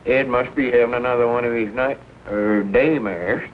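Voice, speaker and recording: An actor playing an earnest, folksy older storekeeper with a halting rural Southern drawl in a 1940s film comedy. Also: male voice, medium pitch, natural voice. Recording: scratchy, narrow-band 1940 film soundtrack.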